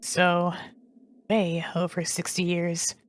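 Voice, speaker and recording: A woman's voice: on the low side, monotone, takes it slowly, background noise. A woman in a fan dub voicing a young queen who is cautious, curious and well-meaning, speaking hesitantly and questioningly. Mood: concerned, reasoning.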